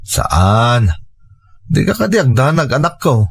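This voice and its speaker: A man's voice: medium pitch, very animated, slow pace, gravelly, slightly rough, full character voice. A man playing an irritable, irresponsible husband and father in an audio drama, grumbling and dismissive. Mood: placating, hurried. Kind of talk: Ilocano audio drama acting.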